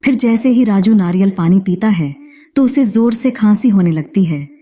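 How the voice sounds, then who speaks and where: Woman narrating a scary story, medium pitch, really soft dark voice. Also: animated, moderate pace.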